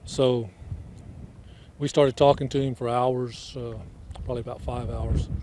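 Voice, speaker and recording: A man's voice: medium pitch, little pitch movement, unhurried, dark tone. A man giving an unscripted interview statement as an official, recorded in the field. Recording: noisy ambient field interview audio.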